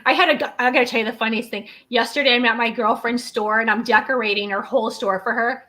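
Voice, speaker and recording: A woman co-hosting a casual chat, telling lively stories and acting out what people said to her. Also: fairly high pitch, quick pace. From Southern United States. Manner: animated storyteller, acts out quotes, laughs often. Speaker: co-host.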